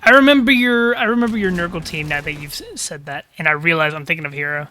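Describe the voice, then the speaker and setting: A man's voice: fairly high pitch, fast talker, pushed and bright. A man casting a live tabletop-style video game match, chatting casually and thinking aloud, with a slightly crackly throat. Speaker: caster.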